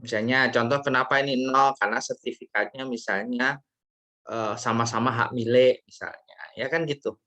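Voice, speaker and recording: Bright-voiced casual male lecturer. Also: medium pitch, fairly even intonation, normal speed. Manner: casual, guiding with rhetorical questions. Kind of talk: online class discussion. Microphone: video call.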